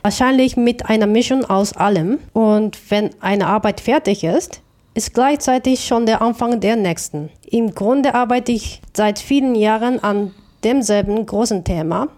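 A woman from Japan with a Japanese accent, a native Japanese speaker, answering interview questions as an artist in a thoughtful, halting way with self-corrections. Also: a little high, darkish tone. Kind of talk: interview answers, reflective explanation.

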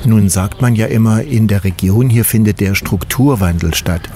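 A man interviewing a guest for radio, asking measured, formal questions outdoors at a vineyard. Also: lowish voice, mellow, faint music behind.

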